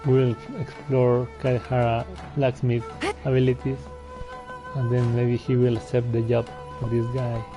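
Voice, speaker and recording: A man's voice: mid-range voice, completely flat delivery, unhurried, highs cut off, music in the background. A man giving casual commentary as he plays through a video game. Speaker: gamer playing on video.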